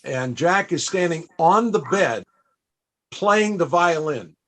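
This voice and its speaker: Higher-voiced man hosting a panel. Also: expressive, slow pace, a bit bright. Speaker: panel host and interviewer. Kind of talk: conversational interviewing and storytelling.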